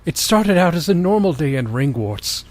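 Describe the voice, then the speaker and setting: Animated, higher-pitched man hosting a comedy reading. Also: normal speed. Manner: mock-grand delivery, amused. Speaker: podcast host. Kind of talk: dramatic comic reading of fan fiction with joking asides.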